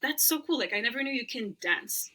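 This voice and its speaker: Bright-voiced woman, chatty guest. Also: on the high side, animated, brisk. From Lebanon. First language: Arabic. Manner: chatty, laughs often, many "like" fillers. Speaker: podcast guest. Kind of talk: casual interview chat.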